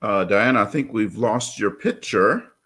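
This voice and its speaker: A man's voice: on the low side, expressive, a little slow, pushed and bright. A man hosting a conversational interview over a video call, often affirming his guest and repeating words. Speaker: talk show host, interviewer.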